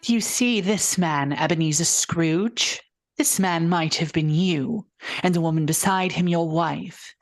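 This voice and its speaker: An actor playing a grave, relentless ghost in a vintage-style radio drama, speaking in a solemn, measured way. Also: male voice, a little high, dry recording, soft background music. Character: solemn, relentless ghost showing a miser his past.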